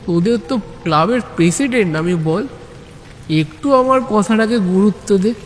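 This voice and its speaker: Male narrator acting a pompous fat club president, high voice, plaintive, halting, self-important, comic audio story, reverberant hall sound. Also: lively intonation, a little hiss or hum. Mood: aggrieved.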